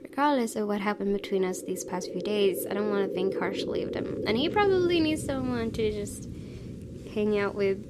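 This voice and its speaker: A woman's voice: mid-range voice, moderate pace, very noisy. A young woman hosting a let's play, chatting casually and reading game text aloud. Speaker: gaming video host. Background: clear game music.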